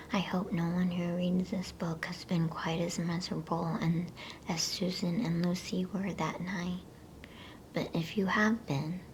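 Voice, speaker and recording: Female ASMR reader, hushed creaky whisper, flat and very unhurried, for bedtime storytelling. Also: on the low side, slight background noise, poor audio. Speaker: ASMR storyteller reading a children's novel aloud.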